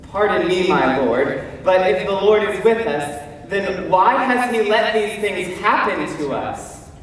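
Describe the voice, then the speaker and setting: Man acting high-voiced Gideon in an echoing hall. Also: expressive, a bit bright. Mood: fearful, doubting. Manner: theatrical, emphatic, with deliberate pauses and hesitant stammers. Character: Gideon, fearful, self-doubting biblical warrior telling his story. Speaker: stage actor. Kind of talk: dramatic stage monologue. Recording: distant mic in echoey hall, faint noise.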